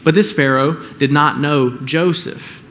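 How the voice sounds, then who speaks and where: A man's voice: on the high side, a little slow, fairly bright tone, a little hiss or hum. A man preaching a sermon in a conversational way, often checking in with the congregation with questions. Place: church.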